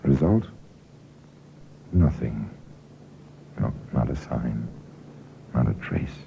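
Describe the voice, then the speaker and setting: A man playing a grave, wry host who narrates crime cases in an old radio drama, brooding and deliberate. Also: a bass voice, animated, gravelly, exaggerated voice. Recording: tinny, noisy old radio recording.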